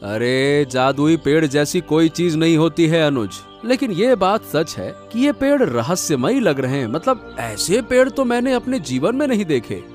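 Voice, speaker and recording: Man voicing a bereaved village farmer, stoical, earnest, fairly high pitch, cartoon moral story, background music. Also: very animated, moderate pace, hardly any pauses. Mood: amazed. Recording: music playing behind the voice.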